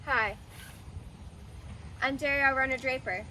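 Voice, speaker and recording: Young woman senator, cheerful, high voice, background noise clearly audible. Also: a little slow, sharp tone, low-quality recording. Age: young adult. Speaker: class senator. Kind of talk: casual self-introduction to camera.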